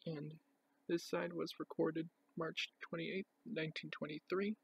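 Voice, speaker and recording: A man with a very gravelly, husky voice gives an unscripted show-and-tell. His pitch sits a little high and his delivery is plain and halting as he goes item by item. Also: flat delivery, rough recording. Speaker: record collector presenting a collection.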